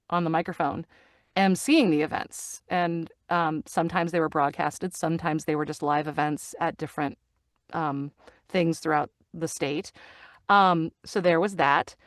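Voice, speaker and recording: Chatty, smooth-voiced woman. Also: sits low, normal speed, no echo, clean studio sound. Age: middle-aged. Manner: chatty, animated storyteller with frequent fillers and laughs. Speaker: guest. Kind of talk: casual interview chat.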